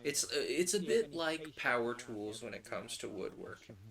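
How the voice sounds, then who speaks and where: A man's voice: medium pitch, lively intonation, moderate pace, creaky, a bit bright. A man hosting a casual crafting livestream, rambling and thinking aloud as he works. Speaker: livestream host. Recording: old radio show playing behind the voice. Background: old-time radio drama playing.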